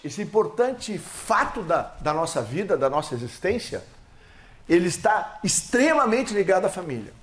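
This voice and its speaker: Emphatic Brazilian man in an echoey hall. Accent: Brazilian Portuguese. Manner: emphatic, swelling and dropping in pitch. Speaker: seminar speaker. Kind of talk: political seminar speech with slides. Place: lecture hall.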